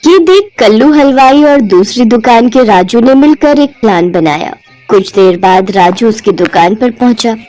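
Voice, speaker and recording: A woman narrating a moral cartoon story in a clear, steady storytelling voice. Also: a little high, unhurried, low-quality recording. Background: soft background music.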